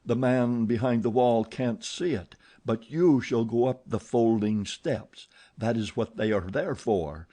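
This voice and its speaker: A man's voice: mid-range voice, very animated, unhurried, dark tone, husky. A man voicing an angry, jealous masked man who snarls commands and mockery in an audiobook. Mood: menacing. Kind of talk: audiobook character voice.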